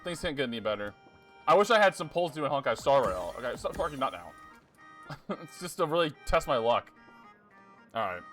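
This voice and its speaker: Casual game streamer, animated pitch, joking, faint music. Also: a little fast, pauses often. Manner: chatty, joking, lots of filler "like". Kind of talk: casual gameplay commentary.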